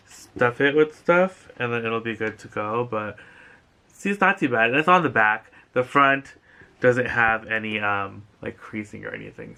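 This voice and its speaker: Gushing young man with a bright voice. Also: mid-range voice, clean tone. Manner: gushing and enthusiastic, rambling with many fillers. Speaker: thrift haul vlogger. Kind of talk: casual haul vlog. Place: living room couch indoors.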